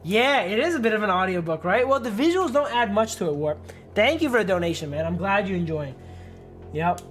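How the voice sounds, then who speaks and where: A man's voice: sits high, animated, brisk, a bit bright, faint music behind. A man livestreaming a game, reading its story text aloud and chatting in slang, stumbling over long words. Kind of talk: narrating game text, casual chat with viewers.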